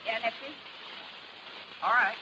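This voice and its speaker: A woman's voice: on the high side, measured pace, lightly acted. An actress playing an evasive, frightened carnival woman in a 1930s radio drama. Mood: wary. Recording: noisy old 1930s radio recording.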